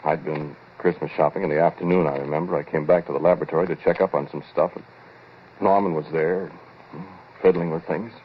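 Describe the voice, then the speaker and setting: A man's voice: a bass voice, fairly even intonation, brisk. An American actor playing a skeptical, teasing scientist who tells his eerie story in a conversational 1940s radio drama style. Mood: brooding recollection. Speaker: narrator and lead actor. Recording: noisy, narrow-band 1940s radio recording.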